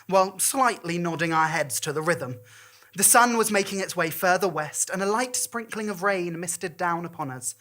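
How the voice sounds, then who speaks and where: A woman's voice: on the low side, fairly bright tone. A woman performing a comic storytelling monologue in a wry, campy, theatrical manner.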